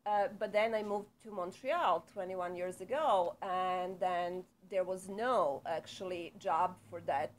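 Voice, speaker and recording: A middle-aged woman from Serbia with a Serbian accent, a psychotherapist guest speaking in a casual interview, unhurried and full of small hesitations, recorded in a small indoor studio. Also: lowish voice. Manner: unhurried, frequent hesitations, light laughs.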